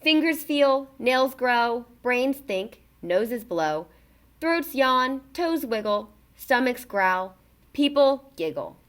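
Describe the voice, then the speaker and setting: High, very lively woman reading to children. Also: slow pace. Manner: expressive, emphatic delivery for children. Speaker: read-aloud narrator. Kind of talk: children's book read-aloud.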